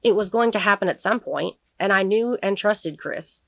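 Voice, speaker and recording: A woman reading the part of a grieving, protective middle-aged father who testifies in court in a measured, sincere audiobook manner. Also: mid-range voice, gravelly, no echo, clean studio sound. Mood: reflective, protective.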